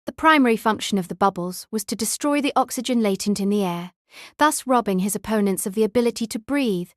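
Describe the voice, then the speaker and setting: Audiobook lady, average pitch, reading narration, really dry audio. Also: fairly quick, dry recording.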